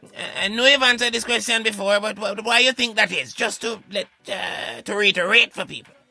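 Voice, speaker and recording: A man's voice: high-pitched, fairly quick, creaky, pushed and bright, no room sound, faint music behind, pitched above the performer's own voice, full character voice. A Jamaican man playing a hesitant, earnest radio sidekick who asks questions with a stammering delivery.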